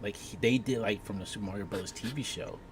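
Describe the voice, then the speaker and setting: A man's voice: lowish voice, fast talker. A man chatting casually on a panel in a slangy, informal way. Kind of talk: casual chat. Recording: clear crowd murmur behind.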